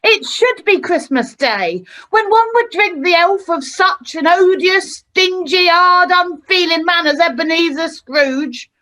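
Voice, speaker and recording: A woman's voice: very high-pitched, expressive, unhurried, hardly any pauses, pushed and bright, heavily acted, low-quality recording. A woman playing a tart, outspoken wife and mother in an amateur radio play, forthright and emphatic. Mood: indignant. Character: indignant, outspoken wife and mother, Mrs Cratchit.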